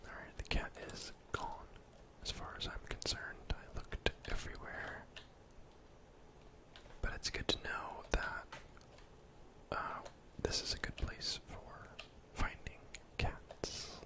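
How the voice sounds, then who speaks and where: Young guy whispering asmr game commentary, breathy n chatty, close mic, keyboard clicks. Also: takes it slowly. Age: young adult. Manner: casual, gently chatty. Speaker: gaming ASMR video host. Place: indoor room at home.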